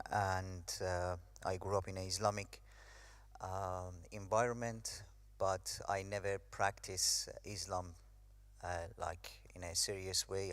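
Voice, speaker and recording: A man's voice: low voice, a bit bright, dry recording. A young man from Iran giving a hesitant personal testimony, with many fillers. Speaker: speaker sharing his story.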